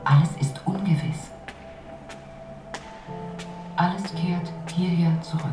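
A woman's voice: fairly low pitch, slow. An actress performing a grave woman who intones paradoxes in repetitive, incantatory phrases in a radio play, echoing and distant. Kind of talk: poetic radio play recitation. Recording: echoey, distant from mic, loud music.

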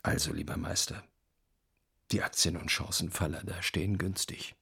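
An upbeat, businesslike publisher in a radio play. The voice is very deep and creaky, with emphatic delivery. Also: male voice, huge pitch swings, moderate pace, pushed and bright, full character voice. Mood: triumphant. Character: upbeat male publisher reporting triumphs to his author. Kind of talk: radio play acting, reading out business letters.

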